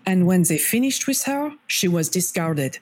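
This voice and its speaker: A woman playing a sly, worldly French rebel in an audio drama, with a French accent and formal, measured phrasing. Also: fairly low pitch, lively intonation, a little mellow. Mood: measured, sympathetic.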